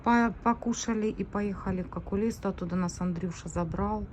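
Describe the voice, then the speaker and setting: A woman's voice: mid-range voice, fairly quick, a little mellow. A woman vlogging casually on her phone camera, chatting to viewers about her day. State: scratchy throat, coming down with a cold. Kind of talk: casual vlog chat to camera. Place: at home indoors, then at an outdoor bus stop. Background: wind and passing traffic noise.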